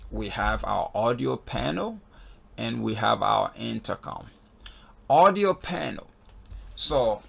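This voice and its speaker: A man with a very gravelly, fry-laden voice talks through a procedure as an instructor. His tone is husky and mid-pitched. He explains casually, one step at a time, pausing to check in with the learner. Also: a bit bright. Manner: step-by-step, repeatedly checking in with "okay". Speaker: flight instructor in a tutorial video. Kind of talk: casual spoken software walkthrough. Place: voice-over on a screen recording.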